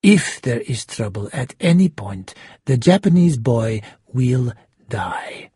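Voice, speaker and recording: A man voicing an angry, cold gunman in an audiobook, giving hard, clipped, often hushed threats. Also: mid-range voice, very animated, slow pace, slightly rough, no room sound, studio quality, heavily acted. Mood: cold, commanding. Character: menacing, angry male gunman, cold and commanding. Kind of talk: audiobook character voice.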